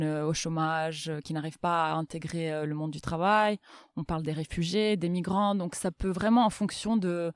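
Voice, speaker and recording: Smooth-voiced woman, hesitant and thoughtful. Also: fairly low pitch, darkish tone, no room sound, clean studio sound. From Milan, Italy. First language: Italian. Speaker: interview guest, development bank inclusion officer. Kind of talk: casual, thoughtful interview answers.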